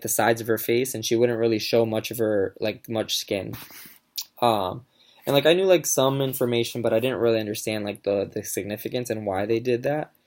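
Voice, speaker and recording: A man's voice: on the low side, darkish tone. A young man giving a casual class presentation, talking in a hesitant way with many fillers and restarts. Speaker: student presenter.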